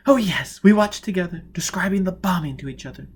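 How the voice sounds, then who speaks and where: A man voicing a sheltered, excitable young upper-class woman in an audiobook, breathless and dramatic. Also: sits high, a little fast, breathy edge, voice pushed up. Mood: breathless excitement. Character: sheltered, excitable rich young blind daughter, enthralled by danger.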